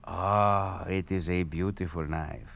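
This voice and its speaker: A man's voice: low-pitched, fairly even intonation, takes it slowly, gravelly, full character voice. An actor playing a wry, cunning male escaped prisoner who narrates his tale with chuckles and theatrical flair, in a 1940s radio drama. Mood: wry, chuckling. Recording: dull old radio recording, faint music.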